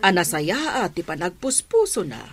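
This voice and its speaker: A woman's voice: fairly low pitch, sing-song, normal speed. A woman with an Ilocano accent playing a generous, caring well-off madam in a radio drama. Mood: gracious, pleased. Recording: busy crowd sound behind the voice. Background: crowd sounds.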